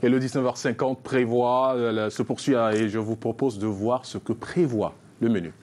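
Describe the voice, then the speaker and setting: A man presenting an evening television news bulletin, reading headlines in a measured newsreader delivery. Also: mid-range voice, fairly even intonation, a little mellow. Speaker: news anchor.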